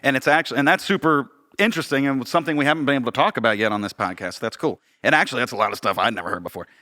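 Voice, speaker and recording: A man's voice: mid-range voice, rapid-fire, sharp tone. A man co-hosting a casual podcast chat, informal and affirming in the way he talks. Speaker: podcast co-host and director. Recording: reverberant room sound.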